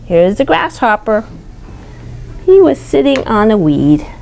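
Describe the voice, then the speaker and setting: Lively high-voiced woman reading to children. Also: a little slow, creaky, low-quality recording. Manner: explains simply, pointing things out. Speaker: storytime reader teaching young children. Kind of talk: read-aloud storytime with questions to children.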